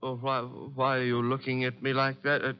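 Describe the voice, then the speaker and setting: An actor playing an earnest, indignant stage-actor suitor in an old-time radio drama, formal and theatrical with hesitant turns. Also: male voice, medium pitch, completely flat delivery, normal speed, smooth, natural voice. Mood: alarmed, indignant. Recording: dull vintage radio recording.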